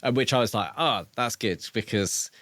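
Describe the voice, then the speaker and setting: A man co-hosting a podcast, discussing a book in a casual, measured and reflective way. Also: medium pitch, a bit bright, dry recording. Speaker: podcast co-host.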